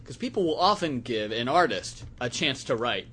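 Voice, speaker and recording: A man on a podcast panel discussing comics casually, animated and given to joking. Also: medium pitch, moderate pace, husky.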